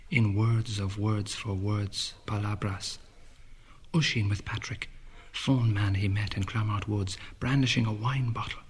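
An actor voicing a brooding young poet's murmured inner monologue, at times sinking to a whisper. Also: male voice, on the low side, slightly rough. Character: brooding young male poet, inward and allusive.

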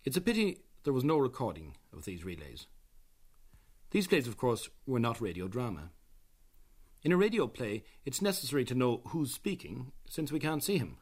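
A man's voice: medium pitch, big pitch swings, a little mellow. Man presenting radio history. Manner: measured, explanatory. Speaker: documentary presenter. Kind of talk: scripted radio documentary narration.

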